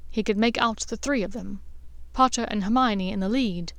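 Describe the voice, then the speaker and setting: A woman's voice: mid-range voice, normal speed, no room sound. Woman narrating a story, dry close sound. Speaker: audiobook narrator of fan fiction.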